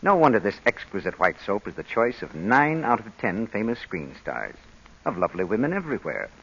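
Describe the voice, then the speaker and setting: A man's voice: fairly low pitch, sing-song, brisk, gravelly, a bit bright, husky. A man announcing an old-time radio show and reading sponsor copy in a formal period style. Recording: dull vintage radio broadcast recording.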